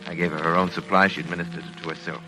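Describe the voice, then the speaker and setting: A man plays a grave, controlling doctor in an old 1940s radio drama. His voice is very low and quick, his phrasing formal and authoritative, with music behind him. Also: flat delivery, lightly acted, poor audio. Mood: grave, reflective. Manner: measured wording, formal, authoritative. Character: grave, controlling physician husband and narrator.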